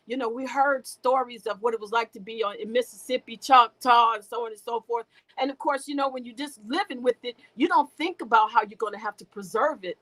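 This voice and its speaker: A middle-aged woman candidly telling her life story as an interview guest, halting and restarting, often laughing. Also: high-pitched, fairly bright tone, low-quality recording.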